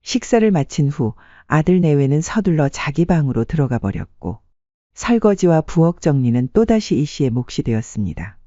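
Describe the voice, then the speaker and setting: A man narrates a family story like an audiobook, measured and scripted. His voice sits a little high with a very mellow, dark tone, recorded dry and close. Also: big pitch swings, no echo, lo-fi sound.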